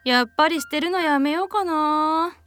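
A woman's voice: sits high, moderate pace, fairly bright tone, a little hiss or hum, voice pushed up. A woman playing an earnest, sentimental girl in a radio drama, speaking in a heartfelt, insistent way. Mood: wistful. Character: earnest, sentimental girl attached to her old desk.

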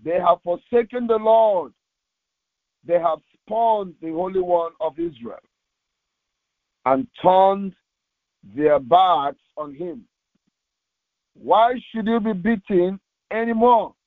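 A man preaching as a pastor, reading scripture aloud in a solemn, deliberate way with short halting phrases, over a narrow phone-like recording. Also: sits high, lively intonation, no room sound. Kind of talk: devotional sermon with slow scripture reading aloud. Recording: tinny, phone-like narrowband audio.

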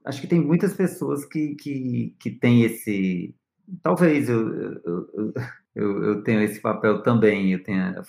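A man's voice: lowish voice, slow, creaky, darkish tone, roomy sound. A man with a Northeastern Brazilian accent, a musician answering interview questions in a hesitant, searching way. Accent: Northeastern Brazilian Portuguese. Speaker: musician guest. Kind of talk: interview conversation.

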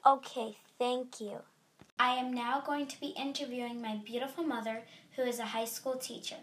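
Young woman interviewer, fairly high voice, friendly and upbeat. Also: fairly even intonation, moderate pace. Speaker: student interviewer. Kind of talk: family interview.

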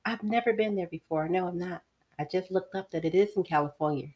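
A woman co-hosting a podcast, bantering in a dry, deadpan, teasing way. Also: on the low side, brisk. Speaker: podcast co-host. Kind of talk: casual podcast chat.

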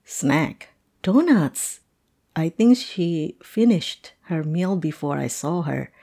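A woman playing a playful, chatty teacher telling a colleague a story in an animated, amused, conversational audio roleplay. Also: low-pitched, slow, gravelly, exaggerated voice. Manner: animated, amused, punctuated with chuckles.

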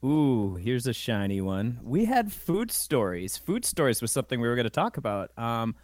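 A man's voice: medium pitch, big pitch swings, normal speed, a little mellow, no echo, studio quality. A man co-hosting a podcast, chatting casually and playfully. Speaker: podcast co-host. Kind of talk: casual chat.

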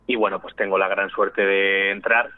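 A man's voice: low-pitched, level tone, normal speed, no echo. A young man from Spain, an actor chatting casually as a radio guest over the phone, friendly and full of fillers. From Spain, raised in Valladolid. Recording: tinny phone line.

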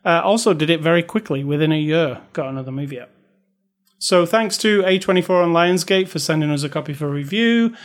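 A man's voice: fairly high pitch, animated, fairly quick. A man co-hosting a casual film review podcast, chatty and opinionated, often restarting his sentences. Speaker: podcast co-host.

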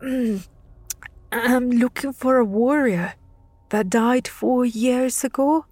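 A woman's voice: lowish voice, takes it slowly, a little mellow, no room sound. A woman voicing a frightened young boy who stammers and pleads in a storybook. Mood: shaky.

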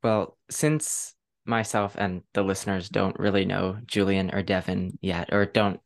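A woman hosting a casual podcast interview, enthusiastic, with a halting delivery full of ums. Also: a bass voice, creaky. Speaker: podcast host.

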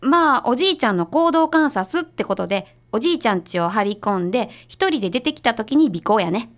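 A woman's voice: on the high side, animated, fairly quick, close to an everyday voice. A woman playing a blunt, short-tempered female detective's assistant in a radio drama, with a Kansai accent. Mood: decisive. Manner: blunt, bossy.

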